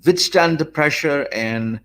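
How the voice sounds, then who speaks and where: A Pakistani man, English not his first language, giving an earnest video lesson from a small home office with many hesitant fillers. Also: on the high side. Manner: earnest and measured, many "uh" fillers and restarts, gestures with his hands. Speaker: online business coach. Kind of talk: talking-head video lesson. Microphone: stand mic close in front, webcam framing.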